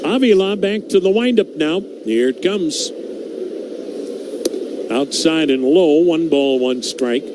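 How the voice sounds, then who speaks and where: Unhurried radio baseball announcer, noisy feed. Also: male voice, lively intonation. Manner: measured, calls each pitch and count. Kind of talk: baseball play-by-play commentary. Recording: noisy broadcast audio.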